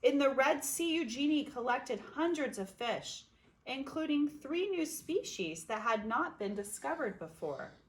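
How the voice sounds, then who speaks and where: A woman reading a children's picture book aloud expressively, with dramatic pauses and emphasis. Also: high voice, big pitch swings, poor audio. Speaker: storybook reader.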